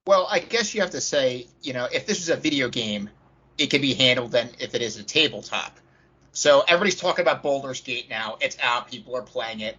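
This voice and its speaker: A man with a sharp, forceful and raspy voice chats as a podcast co-host, wandering off into casual asides. The audio is a little dull and lo-fi. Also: mid-range voice, expressive, brisk. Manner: chatty, digressive. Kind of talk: casual chat about games.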